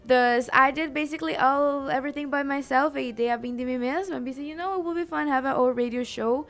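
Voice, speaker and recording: A woman's voice: sits high, moderate pace, fairly bright tone. A teenage girl from Aruba talking eagerly about her new youth radio show, switching between languages as she goes. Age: teens. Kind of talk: radio interview talk.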